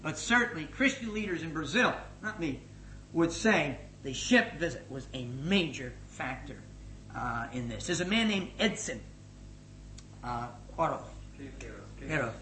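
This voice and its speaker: A middle-aged man giving a spoken report as a missions leader to a gathering, energetic and emphatic, swinging between loud and hushed. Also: fairly high pitch, measured pace. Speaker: missions leader addressing his organisation. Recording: echoey room with faint noise.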